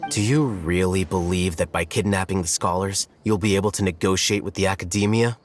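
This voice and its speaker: A man voicing a calm, cunning scholarly scribe in a game cutscene, composed and measured. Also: sits low, natural voice. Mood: persuasive. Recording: game cutscene with clear music.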